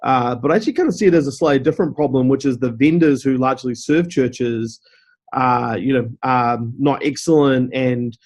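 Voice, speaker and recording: A man from New Zealand with a New Zealand accent, answering interview questions in a steady, explanatory way and often saying "you know". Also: medium pitch, moderate pace. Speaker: guest, company CEO.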